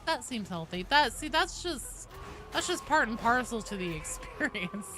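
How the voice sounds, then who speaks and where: A woman's voice: a little high, big pitch swings, normal speed, music in the background. A woman game streamer chatting casually and informally while she plays, with slangy talk and plenty of swearing. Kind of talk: casual live stream chat with gameplay commentary.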